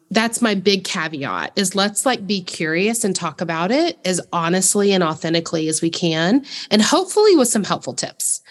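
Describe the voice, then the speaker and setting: Earnest woman co-host, lowish, reverberant. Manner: earnest, thoughtful, many fillers. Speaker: podcast co-host. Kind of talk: podcast conversation.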